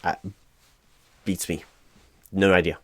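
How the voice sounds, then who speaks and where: A man playing a flustered, hapless corporate recruiting manager in a one-sided spoken roleplay, hesitant and stammering, with an awkwardly polite, monotonous delivery. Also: deep voice, slow pace. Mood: flustered, clueless. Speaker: job interviewer.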